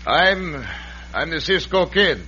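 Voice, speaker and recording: Hesitant, lively-pitched outlaw posing politely, muffled, noisy vintage radio drama. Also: male voice, slow pace, heavily acted. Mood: falsely polite, evasive. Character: shifty outlaw posing as a polite cowboy hero. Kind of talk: old-time radio western acting.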